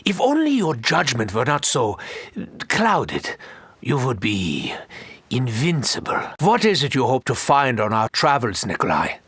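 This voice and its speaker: A man's voice: medium pitch, wildly swooping pitch, measured pace, fairly bright tone, husky, no room sound, exaggerated voice. A male actor playing a sinister, scheming German doctor in a video game, with precise, formal and theatrical delivery. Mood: grave, confiding.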